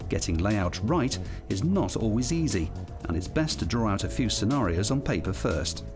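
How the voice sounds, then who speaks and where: Animated British male narrator over music. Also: lowish voice, normal speed. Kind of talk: scripted training film narration. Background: background music.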